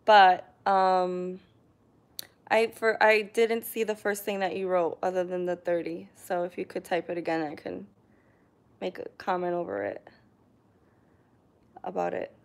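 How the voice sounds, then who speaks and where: Slow-talking chatty young woman. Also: fairly low pitch, steady tone, gravelly. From New York. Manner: chatty, hesitant, frequent ums and laughs. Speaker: vlogger reading live chat comments. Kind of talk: casual live stream chat. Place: her living room. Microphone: camera on a tripod.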